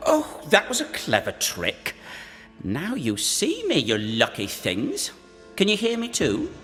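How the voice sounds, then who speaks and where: A man voicing the flirtatious, deluded ghost of a sickly, lovesick gentleman in a theatrical, singsong video game performance. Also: fairly high pitch, wildly swooping pitch, slow pace, heavily acted. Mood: flirtatious, teasing. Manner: theatrical, singsong, repeating phrases. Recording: long echoing reverb, music underneath.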